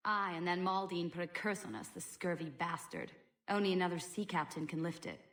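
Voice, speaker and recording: A woman's voice: fairly low pitch, fairly quick, a bit bright, natural voice. Woman acting a salty, grumbling pirate. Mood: bitter, scornful. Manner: salty, scolding sailor talk. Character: grumbling female pirate turned to stone, salty, scornful. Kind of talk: video game voice acting.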